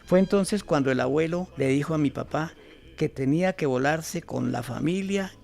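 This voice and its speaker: Man as Colombian grandfather, over 60, gravelly and fairly high-pitched, reminiscing in a radio play. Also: normal speed, a little mellow, faint music behind. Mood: earnest, reminiscing. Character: elderly grandfather recalling his childhood amid political violence. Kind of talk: radio drama storytelling.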